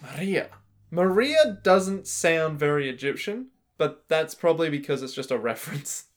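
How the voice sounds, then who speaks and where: Dude rambling on a podcast, jokey, lots of ums and likes. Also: male voice, on the high side, lively intonation, moderate pace. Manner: playful, rambling guesses with many fillers. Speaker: podcast co-host. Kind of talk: casual chat.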